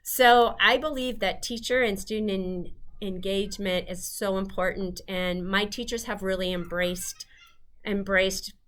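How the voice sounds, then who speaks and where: A woman's voice: mid-range voice, fairly even intonation, a little slow, a bit bright. A woman school leader talking earnestly in a webinar about her teachers. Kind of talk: webinar talk.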